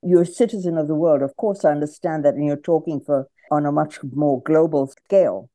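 A woman's voice: low-pitched, fairly quick, mellow, dry recording. A woman interviewing for radio, asking reflective questions and searching for her words.

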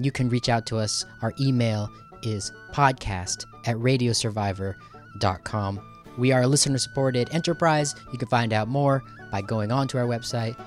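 Male podcast host, halting, music behind. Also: fairly low pitch. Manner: conversational, halting, rambling asides. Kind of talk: casual unscripted podcast sign-off to listeners.

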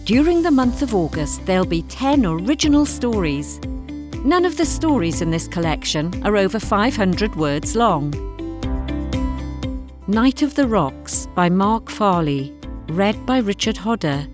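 A woman delivers scripted podcast announcements with lively, varied intonation. Her voice is medium-pitched, and faint music plays behind her. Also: unhurried. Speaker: podcast presenter.

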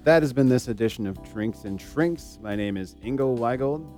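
A man's voice: mid-range voice, expressive, moderate pace, darkish tone, faint music behind. A man co-hosting a podcast in casual, teasing, joking banter. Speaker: podcast co-host. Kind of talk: casual banter between co-hosts.

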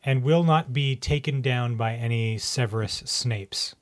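A man's voice: medium pitch, measured pace, no room sound. A man talking conversationally as a podcast co-host. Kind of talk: conversational podcast talk and opinion monologue.

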